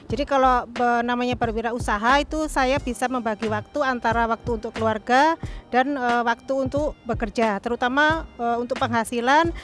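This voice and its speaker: Woman speaking deliberately over music. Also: on the high side, fairly even intonation, fairly bright tone. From South Jakarta. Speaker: food business owner. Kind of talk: interview to camera.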